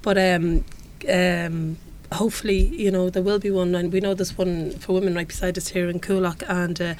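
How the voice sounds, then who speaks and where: A woman's voice: lowish voice, level tone, moderate pace, darkish tone. An Irish woman hosting a live radio panel discussion as its presenter. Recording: clear background noise.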